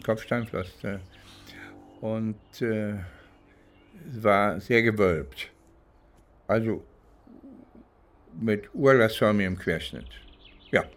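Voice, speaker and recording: An older man from East Germany recounting his legal experience reflectively, halting and pausing between phrases. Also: medium pitch, gravelly. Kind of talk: reflective spoken recollection, interview-like.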